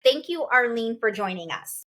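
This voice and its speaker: A woman in her forties hosts a relaxed interview, her voice bright and high. She talks lively and warmly repeats what her guest says. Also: normal speed. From Chicago. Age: 40s. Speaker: host. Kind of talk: casual interview chat.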